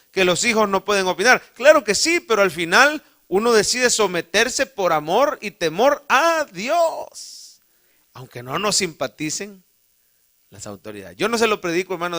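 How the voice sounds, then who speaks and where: A man's voice: sits high, bright, forceful tone. A man with a Salvadoran accent preaching in church, telling a folksy, humorous personal story and calling his listeners brother. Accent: Salvadoran Spanish. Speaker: pastor. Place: church sanctuary.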